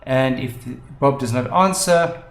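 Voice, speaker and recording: A man presenting a software tutorial, explaining methodically step by step. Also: mid-range voice, unhurried. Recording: roomy, echoing voice-over.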